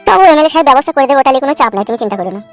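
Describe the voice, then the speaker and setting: A man's voice: very high-pitched, lively intonation, very fast, faint music behind. A man playing a put-upon, rueful village husband in a comic cartoon, plaintive and coaxing.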